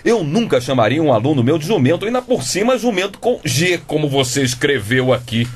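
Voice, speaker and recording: A man's voice: fairly high pitch, very animated, fairly quick. A man playing an indignant, evasive middle-aged father and schoolteacher in a comedic radio drama, pompous and theatrical.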